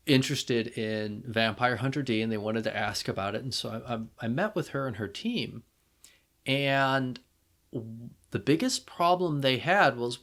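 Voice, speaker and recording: A man hosting a podcast, talking casually and emphatically, with halting restarts as he makes his points. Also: medium pitch, a bit bright. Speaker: podcast host.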